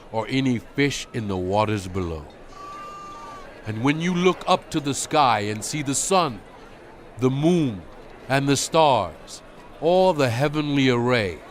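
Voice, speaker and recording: A man playing a solemn, stern prophet and leader exhorting his people in a measured, dramatized scripture reading. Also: fairly low pitch, a little hiss or hum, natural voice. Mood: grave warning. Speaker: Bible narrator.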